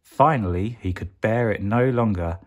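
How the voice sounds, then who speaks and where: A man reading a children's picture book aloud as a bedtime story, expressively and deliberately, stretching key words for emphasis. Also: fairly low pitch.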